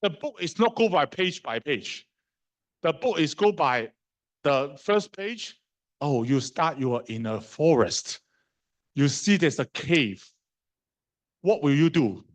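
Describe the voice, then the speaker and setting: A middle-aged man with a Hong Kong accent teaches a university class. His voice sits a touch high. He talks casually with restarts and fillers, and often turns to the students with questions. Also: lively intonation. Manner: conversational, fast and slow in turns, asks the class questions; non-native grammar, fillers and restarts. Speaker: university lecturer. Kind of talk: live lecture.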